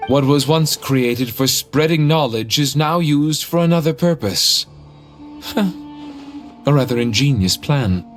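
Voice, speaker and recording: Courtly, measured ancient sage spirit. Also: male voice, on the high side, lively intonation, faint music behind. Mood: musing. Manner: formal, measured. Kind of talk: video game voice acting.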